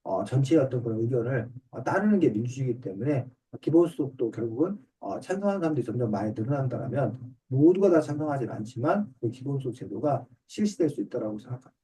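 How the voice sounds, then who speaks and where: A man's voice: mid-range voice, animated, fast talker, few pauses, warm tone. A middle-aged man, an author and teacher, politely explaining things step by step in simple terms during an online class. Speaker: author and reading teacher answering a student's questions. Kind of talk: explanatory talk in an online book discussion class. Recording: distant mic on online video call.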